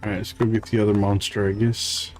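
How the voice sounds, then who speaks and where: A man's voice: lowish voice, level tone, fairly quick, a little mellow, soft background music. A man hosting a livestream with casual, playful gameplay commentary, half talking to himself. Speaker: livestream host.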